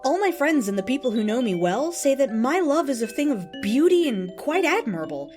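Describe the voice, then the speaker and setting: A woman's voice: high-pitched, big pitch swings, a little fast, darkish tone, faint music behind. A woman voicing an earnest, lovestruck and easily offended devotee in a dramatic, emphatic reading of an open letter. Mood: tender, devoted. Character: earnest, lovestruck, indignant devotee of a cartoon pony.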